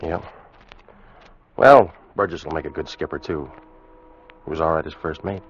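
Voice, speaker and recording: An actor playing a weary American first mate of a sailing schooner in an old radio drama. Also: male voice, rumbling low, normal speed, a little hiss or hum. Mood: easygoing, wistful. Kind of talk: 1940s-50s radio drama acting, first-person narration and dialogue. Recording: muffled vintage radio recording, music behind.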